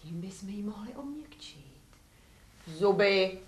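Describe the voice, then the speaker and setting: A woman's voice: medium pitch, lively intonation, lightly acted. A woman playing an amused, henpecked male philosopher haggling hesitantly over a slave in a stage comedy. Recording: echoey theatre, distant, clearly noisy.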